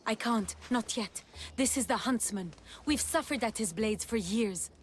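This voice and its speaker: A woman's voice: fairly high pitch, a little slow, a little hiss or hum, natural voice. An actress playing an earnest, resolute young rebel woman in a video game drama.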